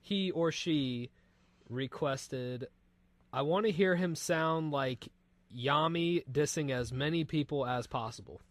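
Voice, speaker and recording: A man's voice: a little high, slow pace, dry recording. A man co-hosting a radio show in casual, informal chat. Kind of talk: casual chat, relaying listener requests.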